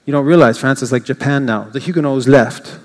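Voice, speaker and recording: A man's voice: mid-range voice, fairly quick. Older canadian guy rambling at church, lots of ums, big echoey hall. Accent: English Canadian. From English Canada. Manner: chatty, rambling, with frequent restarts and fillers. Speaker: guest speaker. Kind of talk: informal church talk.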